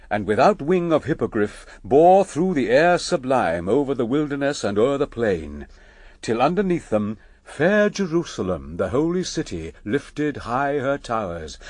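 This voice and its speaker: A man's voice: medium pitch, darkish tone, no room sound. A man narrating an epic poem for radio drama as a grave, lyrical storyteller.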